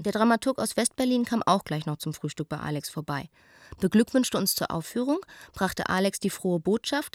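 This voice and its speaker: A woman performs a reflective young actress in a radio play, telling a friend her news by letter. She has an earnest, narrative delivery at medium pitch, recorded very dry. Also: no echo, natural voice. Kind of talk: radio drama letter monologue.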